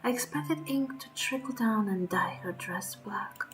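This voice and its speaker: A woman voicing a frightened, defensive young beast pup, telling a horror game story in dramatic first person with hushed, breathy endings. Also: a little high, expressive, a little slow, over music, rough recording. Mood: uneasy.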